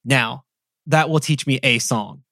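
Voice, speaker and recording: A man's voice: mid-range voice, unhurried, no room sound, clean studio sound. A man co-hosting a casual podcast conversation, talking in a loose, rambling way with lots of "like" fillers. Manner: relaxed, rambling with frequent "like" fillers. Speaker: podcast co-host.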